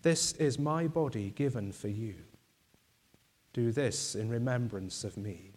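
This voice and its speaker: A man leading communion in a church, reciting liturgical prayers in a slow, solemn, measured way, his voice going. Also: mid-range voice, a little mellow. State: losing his voice.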